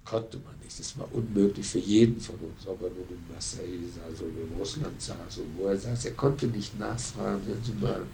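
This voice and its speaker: An older man recollecting in conversation, speaking colloquially in an emphatic, halting way. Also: low-pitched, creaky. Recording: noisy, distant archive tape.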